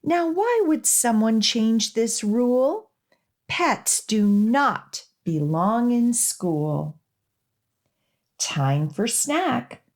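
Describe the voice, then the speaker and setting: A woman children's librarian reading a rhyming picture book aloud for young children, with expressive, measured emphasis on the rhymes. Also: on the high side. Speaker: children's librarian and storytime host.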